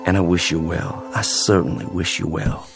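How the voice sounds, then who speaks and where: An actor playing a weary wounded sergeant in a radio drama, courteous and plain-spoken but resolute. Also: male voice, deep voice, fairly even intonation, creaky, music in the background. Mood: resolute, haunted. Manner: plain-spoken, polite, halting.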